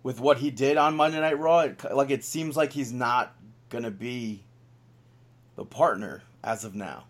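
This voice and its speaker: A man's voice: mid-range voice, measured pace. Rambling, jokey man on a podcast. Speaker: podcast co-host. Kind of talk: casual wrestling chat.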